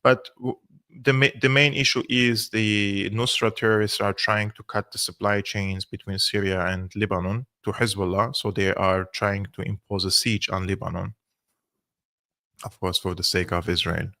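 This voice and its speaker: A man's voice: lowish voice, steady tone, normal speed, sharp tone, no echo. A man who is a native speaker of Arabic and Armenian, giving live political commentary as a host and analyst, explaining his points emphatically.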